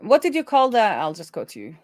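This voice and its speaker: A woman's voice: mid-range voice, huge pitch swings, fairly quick, crowd noise. A woman hosting a coding livestream, chatting casually and thinking aloud as she works.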